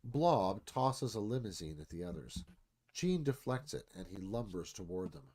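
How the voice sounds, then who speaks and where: A man's voice: fairly low pitch, very animated, moderate pace, darkish tone. A man narrating a screenplay, reading action and stage directions as dramatic scripted narration.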